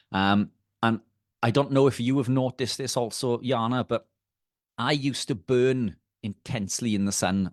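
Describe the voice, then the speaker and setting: Low-voiced Welsh man explaining. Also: no room sound, studio quality. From Wales. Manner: hesitant, many fillers and restarts. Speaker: guest. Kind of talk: casual explanatory chat.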